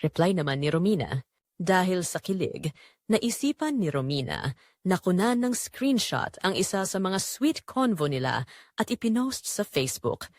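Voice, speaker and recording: A woman's voice: fairly low pitch, sing-song, normal speed, no room sound, studio quality. A woman with a Filipino accent reads a scripted dramatic story as its narrator.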